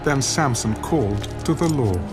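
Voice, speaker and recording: A man's voice: mid-range voice, expressive, moderate pace, music in the background. Man, scripted Bible narration, dramatic storytelling, mid-range voice, musical backing.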